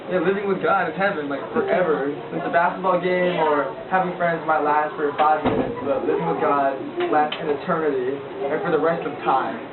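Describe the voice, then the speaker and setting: A teenage boy talks through his testimony in a loose, rambling way, tossing questions out to the listeners. He sits back from a tinny, low-fi recorder in an echoey room with a loud crowd all around. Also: steady tone, a little fast, few pauses, far from the mic. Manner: rambling with frequent likes, asks the listeners questions. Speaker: youth sharing testimony. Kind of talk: casual spoken testimony to a group.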